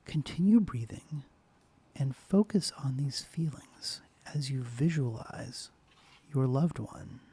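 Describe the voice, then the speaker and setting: Soft-spoken man whispering a meditation. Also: mid-range voice, animated, husky. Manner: slow, soft, partly whispered. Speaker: ASMR host.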